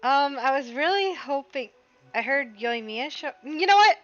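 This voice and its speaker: Woman streamer: bright, high, slow-paced casual commentary, close dry recording. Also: expressive, no room sound, faint music behind. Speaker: game streamer. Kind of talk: casual live reaction commentary. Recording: slightly dull, dry close sound.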